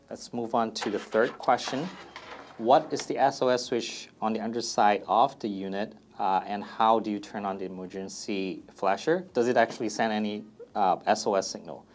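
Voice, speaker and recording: Male product presenter, lowish pitch, casual FAQ demo, plain step-by-step explanations. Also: normal speed, slight background noise.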